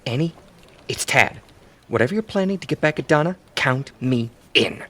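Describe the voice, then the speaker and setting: A man's voice: mid-range voice, lively intonation, moderate pace, noisy background, close to an everyday voice. An actor in an audio comedy playing a snarky, eager young office man with a sarcastic, insistent manner. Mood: resolute. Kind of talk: scripted audio theatre comedy acting.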